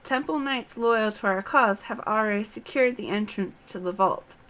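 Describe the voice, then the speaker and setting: A woman voicing a resolute female knight commander in a fantasy game, formal and measured as she briefs her troops. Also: mid-range voice, a bit bright, lightly acted. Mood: resolute, commanding. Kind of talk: read-aloud fantasy game dialogue.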